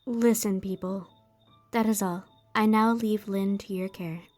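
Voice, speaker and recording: A woman's voice: medium pitch, steady tone, unhurried, a little mellow, natural voice. A woman playing a proud young slave warrior in a comic dub, speaking solemnly, formally and bluntly over music. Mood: stern. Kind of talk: dramatized fan comic dub.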